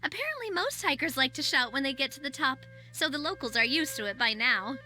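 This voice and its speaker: A woman's voice: sits high, animated, a little fast, bright, forceful tone, heavily acted. A woman voicing a confident, outgoing and playful young lady boss in a video game, exuberant and friendly. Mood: enthusiastic, persuasive. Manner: exuberant, friendly, self-assured. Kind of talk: video game character dialogue.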